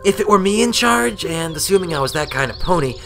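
A man's voice: sits high, animated, quick pace, a bit bright, faint music behind, pitched above the performer's own voice. A man voicing a brash, grudging young female pegasus pony adventurer in a narrated story, blunt in manner. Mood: curious, blunt. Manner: blunt, grumbling.

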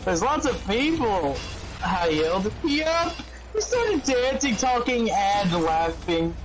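High-pitched man reading aloud haltingly. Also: big pitch swings, music in the background, poor audio. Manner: halting, stumbling over words, swearing. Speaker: gamer reading fan fiction aloud. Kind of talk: mocking dramatic reading with casual asides.

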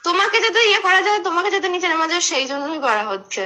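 Casting: young woman, casual and earnest, bright forceful tone, fast but halting phone chat. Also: very high-pitched, expressive. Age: young adult.

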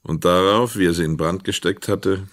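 A man narrating a radio drama from a script in a measured, literary storytelling manner. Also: low-pitched, fairly even intonation, no room sound, clean studio sound.

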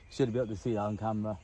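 A man's voice: fairly low pitch, mellow. A man in his thirties giving informal, chatty fishing commentary in short bursts, recorded outdoors. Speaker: angler presenting a fishing guide. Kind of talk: casual vlog commentary while fishing. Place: outdoors on a wooded lake bank. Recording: outdoor recording with clear nature noise.

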